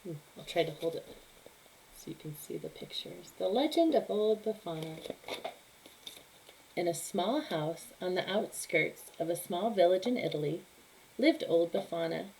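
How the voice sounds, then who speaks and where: A woman reading a picture book aloud to children in an animated, emphatic storytelling manner. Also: on the low side, moderate pace, vocal fry, slight background noise, low-quality recording.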